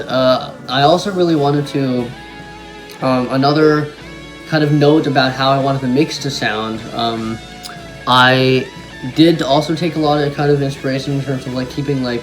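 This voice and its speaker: A man's voice: mid-range voice, rough recording. Young man musician, music playing behind. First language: German. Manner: rambling, many ums and restarts, enthusiastic. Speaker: musician talking about his song. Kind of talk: casual talk to camera.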